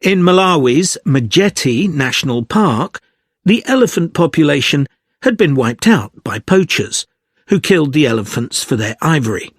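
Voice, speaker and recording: A man playing a zoology student giving a scripted, measured, explanatory presentation. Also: on the high side, expressive, slightly rough, dry recording, clean studio sound. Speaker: actor in an exam listening recording.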